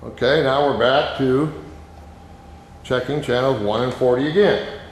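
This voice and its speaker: A man's voice: mid-range voice, a little slow. A man giving an informal, plain-spoken, step-by-step hands-on demonstration as an instructor. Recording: very echoey reverberant room, background noise.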